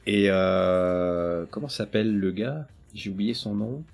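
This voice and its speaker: A man's voice: lowish voice, slow, gravelly, a little mellow. A middle-aged man chatting casually, hesitant and often searching for his words. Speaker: podcast co-host. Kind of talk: casual chat.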